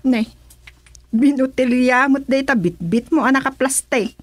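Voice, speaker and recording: A woman's voice: high voice, a little slow, no room sound. A woman with an Ilocano accent playing a nosy village gossip in a radio comedy-drama, inquisitive and colloquial. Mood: curious.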